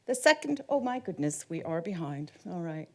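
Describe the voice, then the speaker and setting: A woman from Canada whose first language is Ukrainian, giving a formal conference presentation as an artist in a measured, solemn way that slows down on key words. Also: on the low side, big pitch swings, a little mellow. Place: conference room.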